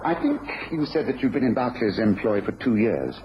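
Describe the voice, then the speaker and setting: An actor playing a gentleman detective in a radio drama, questioning people with polite, clipped authority. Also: fairly low pitch, very animated, brisk. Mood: probing. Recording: noisy, dull lo-fi radio recording.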